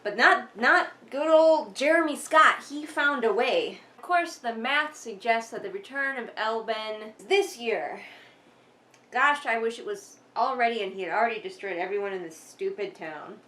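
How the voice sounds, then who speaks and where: A woman's voice: on the high side, animated, moderate pace, bright, forceful tone. A woman giving casual, sarcastic book commentary in an emphatic, exasperated manner, sometimes reading passages aloud. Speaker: vlogger doing a critical book read-along.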